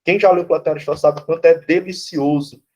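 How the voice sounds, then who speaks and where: Brazilian prof guy, kinda high voice, chatty blunt lecture, keeps saying né. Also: steady tone, racing, dark tone. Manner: conversational, tags lines with "né?" and "tá certo?"; blunt, casually swears. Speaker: philosophy professor. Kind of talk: informal lecture.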